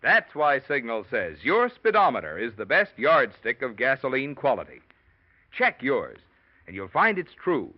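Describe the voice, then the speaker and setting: A man's voice: mid-range voice, wildly swooping pitch, moderate pace. A male announcer giving a genial, folksy commercial read on an old radio show. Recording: dull old radio broadcast.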